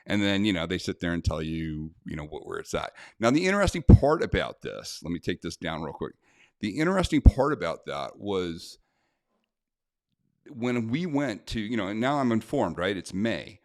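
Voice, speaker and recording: A man's voice: low voice, very animated, moderate pace, a little mellow, dry recording. An American man, a self-defense instructor, talking casually to camera in a blunt, indignant way with many fillers. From United States. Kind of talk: casual talk to camera, reading a letter aloud.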